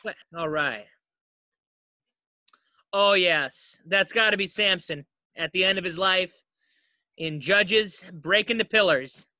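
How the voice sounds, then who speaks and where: A man hosts a podcast in a casual, playful way. His voice is high and very bright, with a dry, close sound from a home setup. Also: slow, pauses often, dry recording. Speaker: podcast host. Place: home basement.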